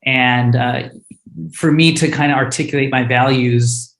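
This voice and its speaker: A man's voice: mid-range voice, fairly even intonation, measured pace, fairly bright tone, echoey room, low-quality recording. A man, a startup founder with Hindi as his first language, answering panel questions earnestly and openly, with frequent fillers and restarts.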